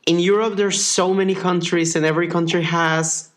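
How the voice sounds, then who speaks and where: Brief: young man from Mexico, Spanish his first language, podcast co-host, loose filler-heavy chat, somewhat high voice. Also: little pitch movement, moderate pace. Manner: rambling, filler-heavy, playful. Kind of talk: casual chat.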